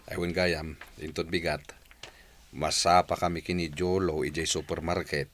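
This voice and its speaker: A man's voice: deep voice, level tone, normal speed, fairly bright tone. An actor playing a cheerful middle-aged working father in an Ilocano-accented radio drama. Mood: hopeful.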